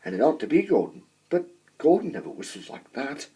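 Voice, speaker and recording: A man's voice: mid-range voice, sing-song, a little fast, dark tone, slightly rough, low-quality recording. A man voicing a friendly, kindly male steam engine in a children's story. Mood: puzzled.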